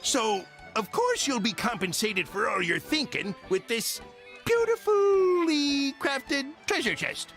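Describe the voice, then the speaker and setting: Greedy pirate captain, game cartoon, mock pirate accent, bright forceful tone, wildly swinging pitch, music behind. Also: male voice, sing-song, slow pace, full character voice. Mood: blustering. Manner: theatrical, blustering, slips into self-corrections. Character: shifty, self-important pirate captain, greedy and bluffing.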